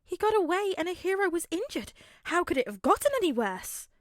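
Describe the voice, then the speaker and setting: A woman voicing an anxious, gentle mother who frets, in an audiobook story. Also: extremely high pitch, sing-song, a little fast, dry recording, studio quality, voice pushed up. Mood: alarmed. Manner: fretting, soft-hearted. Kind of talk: audiobook character acting.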